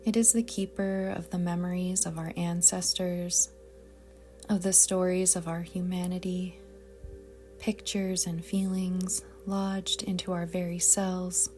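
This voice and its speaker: A woman leads a guided meditation in a mellow, very even voice. She is soft and breathy, often slipping toward a whisper, with a soothing, deliberate manner. Faint music sits behind her. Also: on the low side. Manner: calm, soothing, gentle, deliberate. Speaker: yoga nidra meditation guide. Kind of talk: slow guided meditation narration.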